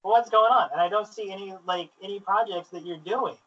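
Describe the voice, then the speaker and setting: A man interviewing casually and telling stories in an informal, sweary, excitable way, on a flawed low-quality recording. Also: fairly quick. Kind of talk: casual chat, animated anecdotes. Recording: distant, muffled lo-fi, technical faults.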